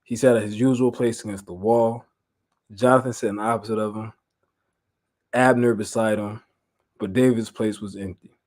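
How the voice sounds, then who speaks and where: A man's voice: lowish voice, normal speed, long pauses. American man preaching in casual, slangy style. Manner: informal, animated retelling, repeats phrases for emphasis. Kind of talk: Bible lesson mixing reading aloud with casual slangy paraphrase.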